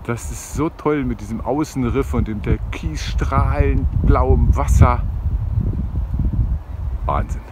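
A man in his fifties narrating a travel vlog outdoors in halting short phrases. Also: medium pitch, fairly even intonation. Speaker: sailing vlogger. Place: outdoors, on a sailboat and hiking trails. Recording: noisy outdoor recording with boat ambience.

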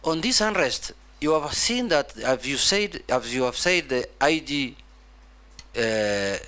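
A man with a Kenyan accent questions a nominee off the cuff in parliament, halting and repeating phrases. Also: medium pitch, expressive, sharp tone. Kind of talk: formal but spoken off the cuff questioning. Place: large parliament chamber.

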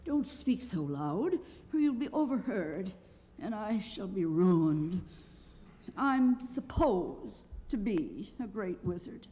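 A woman's voice: on the low side, animated, normal speed, a little mellow, close to an everyday voice. A woman voicing a meek little old humbug wizard with a hesitant, kindly, evasive manner in a storybook reading. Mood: meek, confessing. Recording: long hall reverb, clear background noise.

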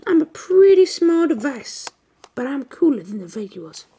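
A woman's voice: high-pitched, sing-song, normal speed, warm tone. A woman voicing a small, chatty, eager mitochondrion girl with a put-on Australian accent, in a comic educational sketch.

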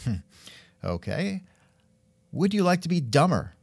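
A man's voice: fairly high pitch, sing-song, a little slow, vocal fry, darkish tone, breathy edge, no echo, studio quality. A man hosting an interview in a casual, musing way, with wry asides and thoughtful hmms. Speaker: podcast host and interviewer. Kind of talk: casual scripted interview chat.

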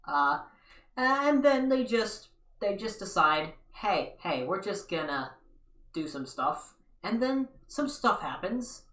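A man's voice: high voice, sing-song, takes it slowly, pushed and bright. A young man giving a rambling, casual recap commentary from an armchair in a small living room, with sarcastic asides. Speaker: video commentator. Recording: echoey room, mic far off.

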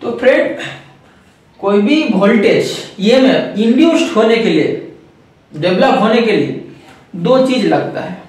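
Give me a man with a very high voice teaching electronics and repeating each point, heard from a distance in a roomy space. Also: expressive, unhurried, pauses often, a little mellow. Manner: explains step by step, repeating key points; addresses viewers as friends. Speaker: electronics trainer. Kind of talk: whiteboard circuit lesson.

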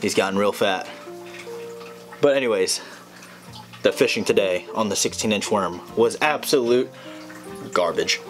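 Young dude fishing vlogger, kinda low voice, gets hyped easy, music playing under it. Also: male voice, unhurried, pauses often. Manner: excitable, blurts out exclamations, says "freaking" a lot. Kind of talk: casual vlog chat. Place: pond bank outdoors, then home gaming room indoors.

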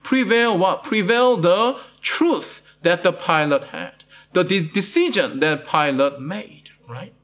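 Casting: middle-aged Malaysian-accented male pastor, high lively pitch, studio sermon, keeps checking "right?". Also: slow. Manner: asks "right?" after most points, repeats words. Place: studio with plain blue backdrop.

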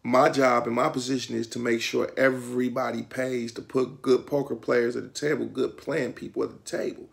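A middle-aged man with a Detroit accent talks straight into a camera in a small room at home. His mid-pitched voice carries a heavy gravelly rasp. He explains the rules in a streetwise, instructive way, gesturing often with his hands. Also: flat delivery, moderate pace, few pauses. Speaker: vlogger. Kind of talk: casual talk to camera.

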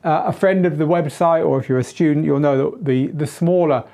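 A middle-aged man with a tired, slightly hoarse voice, hosting a live-streamed DJ Q&A from a small studio on a lapel mic, chatty, with lots of fillers and restarts. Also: on the high side, fairly quick, dark tone. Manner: moves around gesturing at equipment while talking. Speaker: DJ tutor and live Q&A host. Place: small home studio with shelves of gear.

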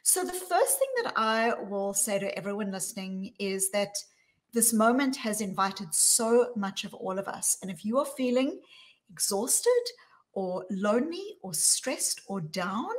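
A woman's voice: medium pitch, expressive. South African woman on a video call. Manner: measured and emphatic, slowing to stress key words. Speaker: guest speaker. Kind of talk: talk on workplace wellbeing. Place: small room with plain wall.

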